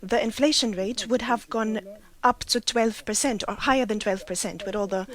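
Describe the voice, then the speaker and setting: A woman's voice: on the high side, moderate pace, a bit bright. Woman arguing at a meeting. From Malta. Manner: argumentative, with frequent restarts. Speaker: meeting delegate. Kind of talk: formal meeting statement.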